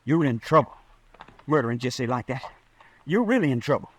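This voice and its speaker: A man's voice: mid-range voice, lively intonation, moderate pace, slightly rough. A man playing a naive, anxious young cowboy sidekick with a folksy, questioning drawl in an old-time radio western. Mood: alarmed.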